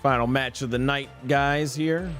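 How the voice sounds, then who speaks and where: A man hosts a casual gaming stream with a mid-pitched voice. He talks informally to his viewers and mutters through his choices, with faint music behind him. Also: steady tone, a little slow, a bit bright. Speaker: gaming streamer and content creator. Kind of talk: casual live stream commentary, reading viewer chat.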